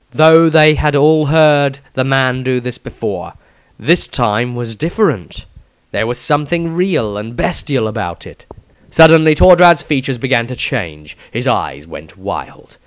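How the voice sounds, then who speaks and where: A man's voice: on the low side, fairly bright tone, no room sound. Dramatic male fantasy storyteller, dry sound. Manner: theatrical, emphatic delivery. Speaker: author reading his own story. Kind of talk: scripted dramatic fantasy narration.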